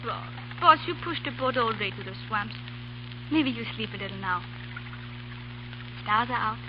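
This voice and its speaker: A woman's voice: a little high, animated, normal speed, close to an everyday voice. A woman with a foreign accent and broken English playing a devoted young girl in a 1930s radio drama. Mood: pleading. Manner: earnest, pleading, broken English. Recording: muffled hissy 1930s radio broadcast.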